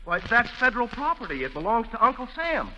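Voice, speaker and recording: A man's voice: very high-pitched, very animated, fast talker, bright, forceful tone, highs cut off, exaggerated voice. A man playing an earnest, officious army sergeant in a 1940s radio comedy. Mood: insistent.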